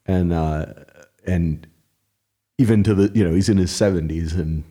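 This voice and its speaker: A man's voice: sits low, normal speed, breathy edge. A man co-hosting a podcast, chatting casually and animatedly, spinning stories with jokey asides. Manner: animated storyteller, jokey voices. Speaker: podcast co-host. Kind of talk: casual music discussion.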